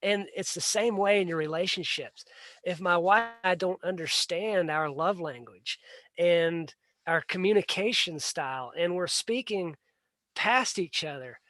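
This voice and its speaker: A man's voice: high voice, unhurried, bright, forceful tone, dry recording. A man hosting a casual livestream, talking earnestly and bluntly and often repeating words as he restarts a sentence. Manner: plain-spoken and blunt. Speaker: livestream host. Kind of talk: casual solo livestream talk.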